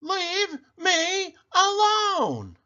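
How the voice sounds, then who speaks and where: A man voicing a fed-up old grandmother in a storybook, with a loud, high shriek and drawn-out exasperated shouting. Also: wildly swooping pitch, highs cut off, exaggerated voice.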